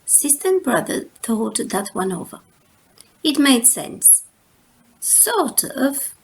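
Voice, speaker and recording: Slow-reading woman narrating a children's story. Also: sits high, very animated, slightly rough. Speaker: storytime reader. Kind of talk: children's picture book narration.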